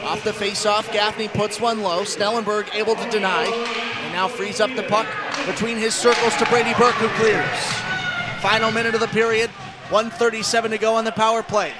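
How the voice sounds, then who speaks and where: High-pitched American hockey play-by-play man. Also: little pitch movement, moderate pace. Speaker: play-by-play announcer. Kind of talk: live hockey radio play-by-play commentary. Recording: clear rink noise behind commentary. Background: ice hockey game noise.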